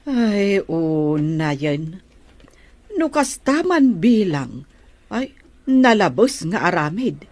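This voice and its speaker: A woman playing a disapproving household confidante in a melodrama, with puzzled, drawn-out reactions. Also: on the low side, very animated, darkish tone, no room sound, exaggerated voice. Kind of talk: Ilocano melodrama acting.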